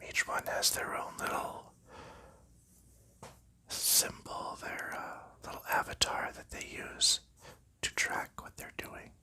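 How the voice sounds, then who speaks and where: A man whispering softly through an ASMR board game show-and-tell, unhurried and gentle, with frequent fillers. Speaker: ASMR creator.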